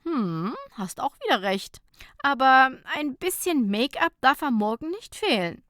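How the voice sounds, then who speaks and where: A woman's voice: high voice, big pitch swings, a little slow, a bit bright, no room sound, studio quality, pitched above the performer's own voice, full character voice. A woman voicing a fun-loving, wry and playfully vain female friend in an audiobook story. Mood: agreeable. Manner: wry, mock-coquettish.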